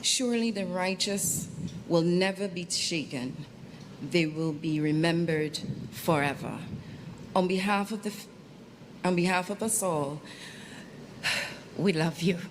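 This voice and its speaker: Slow, solemn woman, low-pitched, noisy recording. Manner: solemn, measured. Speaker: funeral tribute reader. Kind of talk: formal read funeral tribute. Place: funeral service. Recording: clearly noisy live event sound.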